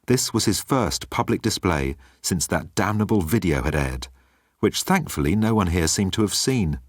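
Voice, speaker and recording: Male audiobook narrator, very low voice, dry close sound, scripted comic storytelling. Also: big pitch swings, moderate pace, no room sound.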